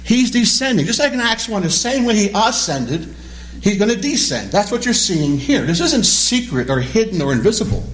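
A man teaching a Bible study class, animated and questioning, repeating key words as he explains them. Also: sits high, normal speed, poor audio. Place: classroom or church hall with a small group.

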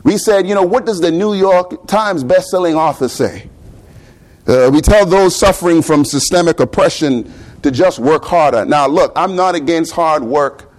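A man's voice: on the high side, normal speed. Bahamian-accented man preaching a sermon. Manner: conversational preaching with rhetorical questions and repeated words.

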